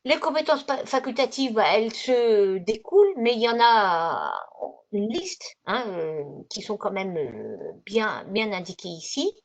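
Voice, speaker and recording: A woman's voice: mid-range voice, very animated, unhurried. A woman presenting slides as a trainer in an online session, explaining in a conversational, didactic way and rephrasing as she goes. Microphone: video conference microphone. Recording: dull, lo-fi video call audio.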